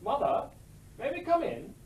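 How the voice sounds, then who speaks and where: A man's voice: very high-pitched, huge pitch swings, unhurried, full character voice. An actor playing a prim, well-meaning young clergyman in a radio play. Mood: solicitous.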